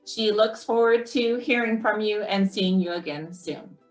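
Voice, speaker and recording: A woman hosting a children's story time, speaking clearly and encouragingly to young listeners. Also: medium pitch, unhurried, echoey room, soft background music, poor audio. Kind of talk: friendly children's program wrap-up.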